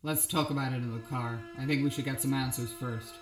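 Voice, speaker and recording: A man's voice: mid-range voice, steady tone, a little fast, over music, close to an everyday voice. A man playing an evasive, chummy old police partner in an improvised noir audio play, coaxing and noncommittal. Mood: guarded. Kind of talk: improvised film noir audio drama acting.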